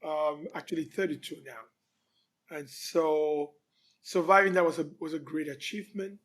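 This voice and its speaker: A man in his fifties from Cameroon, telling his life story as a guest in a relaxed interview, pausing often. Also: fairly high pitch. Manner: relaxed storytelling, frequent pauses with um. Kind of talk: conversational interview.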